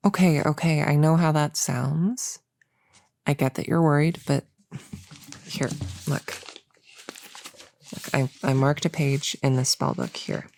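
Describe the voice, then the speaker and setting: A woman playing a nervous, eager young novice witch in an ASMR roleplay, speaking softly and hesitantly, often near a whisper, close to the mic. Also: low-pitched, vocal fry, dry recording, exaggerated voice. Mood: reassuring. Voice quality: soft, often dropping into whisper. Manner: halting, soft-spoken, hesitant and reassuring. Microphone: close-up mic in a dry, quiet space.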